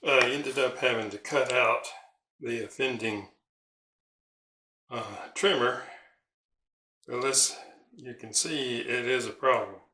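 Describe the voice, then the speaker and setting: A man giving a casual, unscripted repair walkthrough as a hobbyist host, in a halting, hesitant way with pauses. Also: mid-range voice, sharp tone. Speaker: radio repair hobbyist hosting a how-to video.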